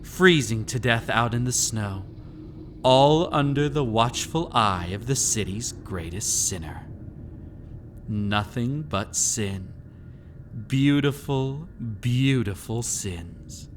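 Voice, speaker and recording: Man playing a teasing, sinister haunted-house keeper, background noise. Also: medium pitch. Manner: teasing, drawn-out words, theatrical asides to the listener. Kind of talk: scripted immersive audio roleplay, storytelling.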